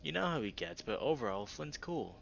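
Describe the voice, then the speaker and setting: A man's voice: fairly high pitch, expressive, a little fast, vocal fry, lo-fi sound, slight background noise, voice pushed up. A man voicing a laid-back, teasing young furry guy from a visual novel, with casual, playful, slangy delivery.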